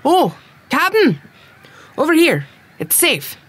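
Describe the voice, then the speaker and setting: A woman's voice: high-pitched, wildly swooping pitch, slow, fairly bright tone, heavily acted. A woman playing a timid, dainty, admiring pirate crew member in an audio drama, hesitant and fluttery. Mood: alarmed, eager.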